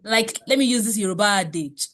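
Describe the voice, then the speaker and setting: A woman's voice: mid-range voice, fairly quick, fairly bright tone. A woman leading a motivational money class, speaking emphatically and preachily with many rhetorical questions. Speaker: wealth coach.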